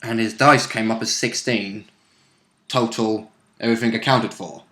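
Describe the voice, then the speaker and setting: A man with a bright, forward voice chats casually as a tabletop player. He talks through the game in a conversational way, recorded in a room with clear echo. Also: fairly low pitch, fairly even intonation, moderate pace. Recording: echoey room sound.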